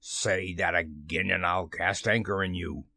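Man as a slow, taunting mock pirate captain. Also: low-pitched, steady tone, creaky, bright, forceful tone, pitched below the performer's own voice, exaggerated voice. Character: mocking boy impersonating a bombastic pirate captain.

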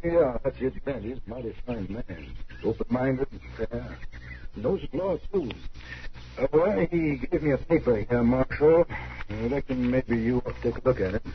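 An actor playing a shrewd, folksy homesteader with a rural American accent in an old radio western, speaking slyly. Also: male voice, mid-range voice, moderate pace, lightly acted. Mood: sly, challenging. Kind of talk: old-time radio western drama acting. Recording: muffled old radio broadcast, faint noise.

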